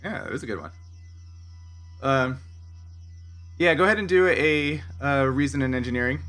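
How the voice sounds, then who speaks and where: A man's voice: mid-range voice, animated, moderate pace, fairly bright tone, soft background music. A man speaking as game master, improvising scene descriptions and rulings in a tabletop roleplaying game.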